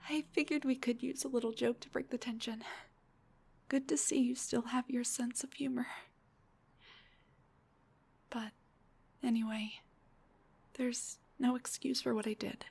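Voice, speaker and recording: A woman acts out a shy, self-deprecating headless monster girl in an intimate audio roleplay. Her voice is high and very breathy, with some creak at the edges. She speaks hesitantly, stumbling over words and often sinking towards a whisper. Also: darkish tone, exaggerated voice. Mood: tearful, apologetic. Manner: hesitant, stammering, joking.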